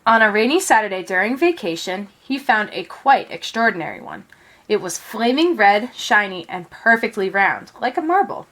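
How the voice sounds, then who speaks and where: A woman narrating a children's picture book aloud in an expressive, careful storytelling manner. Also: mid-range voice, normal speed.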